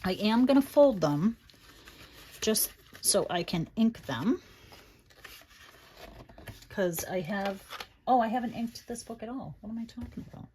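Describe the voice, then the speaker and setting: A woman's voice: medium pitch, expressive, a little slow, long pauses, slight background noise. Chatty woman with a Boston accent. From Boston area, USA. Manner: chatty, thinks aloud, drops into quiet asides. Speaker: crafting channel host. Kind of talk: casual crafting tutorial chat.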